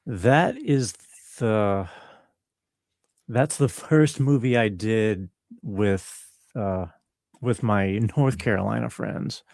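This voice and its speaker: A man chatting casually as an interview guest, explaining his creative work in a laid-back way with pauses. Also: lowish voice, vocal fry, darkish tone, dry recording, clean studio sound. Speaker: interview guest, composer and musician. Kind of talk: casual conversational interview talk.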